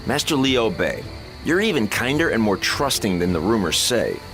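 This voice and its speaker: A man playing a sharp-tongued, scornful but loyal strategist in a dramatic video game, speaking bluntly and articulately. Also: lowish voice, big pitch swings, moderate pace, soft background music. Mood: wry, confident.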